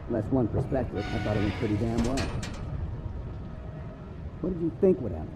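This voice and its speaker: An actor playing a dry, sardonic veteran of a spy agency in a cinematic video game. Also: male voice, medium pitch, expressive, moderate pace, background noise, close to an everyday voice. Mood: bitterly sarcastic. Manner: wry, sardonic. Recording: loud music behind.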